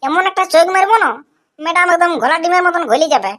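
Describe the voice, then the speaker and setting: A woman's voice: very high-pitched, animated, quick pace, fairly bright tone. A woman voicing a cocky, mischievous schoolboy in a cartoon, with a Purulia Bengali accent and boastful, slangy talk. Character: cocky, mischievous village schoolboy, brash and carefree. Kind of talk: comic cartoon dialogue.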